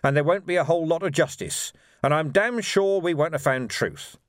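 A male narrator puts on a gruff police sergeant from a comic novel. He is weary and dry, delivering blunt, pragmatic lines with sardonic stresses that rise and fall widely. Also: on the high side, expressive, normal speed, no room sound, pitched above the performer's own voice, natural voice. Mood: wry.

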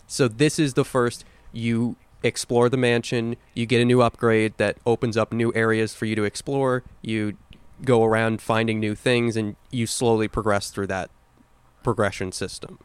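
A man's voice: mid-range voice, little pitch movement, moderate pace, clean tone, dry recording. A man co-hosting a podcast, talking casually and with firm opinions about video games. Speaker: podcast host.

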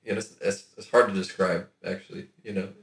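A man with a lowish voice, recorded with noticeable room echo. He talks in loose, slangy sentences with plenty of swearing, like a podcast co-host shooting the breeze about sports. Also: flat delivery, quick pace, vocal fry. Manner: laid-back slangy talk, swears freely. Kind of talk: casual sports banter. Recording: roomy echo.

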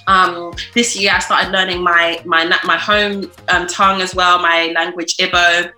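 Chatty woman, bright voice. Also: fairly low pitch, little pitch movement, measured pace, echoey room, soft background music. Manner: chatty, lots of fillers. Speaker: musician guest. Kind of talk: casual interview answers.

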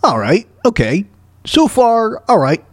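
A man hosting a talk show with casual off-the-cuff news commentary, halting and repeating himself in an exasperated way. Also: sits high, sing-song, noisy background. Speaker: podcast host commenting on news clips.

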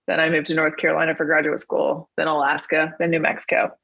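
A woman from Ohio, a podcast guest, chatting casually in a loud, blunt, joking way. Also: low voice, monotone, fast, creaky, slightly rough, no room sound. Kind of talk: casual chat.

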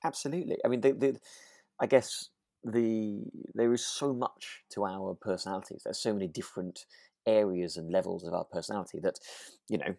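A man co-hosting a podcast in a relaxed conversational style, hesitant and often rephrasing as he goes. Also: lowish voice, fairly quick, gravelly, no echo, clean studio sound. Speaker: podcast co-host. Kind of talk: relaxed conversational discussion of a radio drama.

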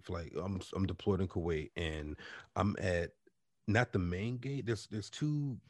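A man with a deep voice telling an animated story in casual chat with friends, swearing freely and stumbling on restarts. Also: unhurried, dry recording, studio quality. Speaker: podcast co-host telling a story.

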